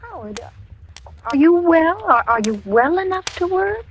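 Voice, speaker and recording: A woman's voice: very high-pitched, sing-song, measured pace, heavily acted. Motherly housewife, old noisy radio drama. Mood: uneasy, soothing. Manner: motherly, placating, hesitant. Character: kindly, nervous older housewife, widening unease. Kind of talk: 1940s radio acting, with first-person narration.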